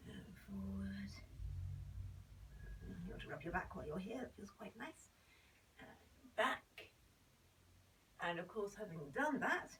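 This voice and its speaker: A woman teaching a belly dance warm-up while suffering from a cold, her voice hoarse and often slipping into a whisper as she calmly cues each move and counts the beats. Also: medium pitch, a little hiss or hum. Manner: calm step-by-step cueing, counting beats. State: has a cold, voice nearly gone. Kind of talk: instructing an exercise warm-up. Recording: voice a bit far from the mic.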